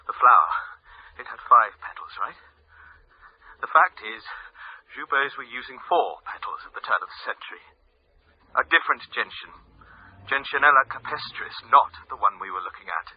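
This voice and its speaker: A man playing an anxious, despairing art buyer in a radio drama, speaking in an agitated, halting, plaintive way. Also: mid-range voice, animated, husky. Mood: grave confession. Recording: roomy, dull radio drama phone scene.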